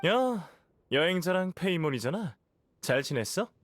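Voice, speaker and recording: A man voicing a suave, teasing young knight captain in a game, with breezy, unhurried delivery. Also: high-pitched, big pitch swings. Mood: breezy, reassuring. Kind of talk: animated game dialogue acting.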